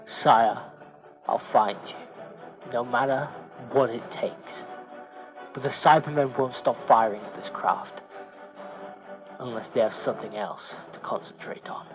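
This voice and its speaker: A man's voice: medium pitch, slow, pauses often, over music, voice pushed down, lightly acted, poor audio. A man voicing a mysterious, loyal companion to a prince in a science fiction audio story, earnest and deferential. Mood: determined, protective.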